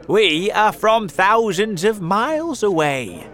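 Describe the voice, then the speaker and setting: A man's voice: a little high, wildly swooping pitch, moderate pace, pitched above the performer's own voice. Male reader as cartoonish storybook centipede, mischievous, smiling, showy, attention-loving. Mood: gleeful boasting.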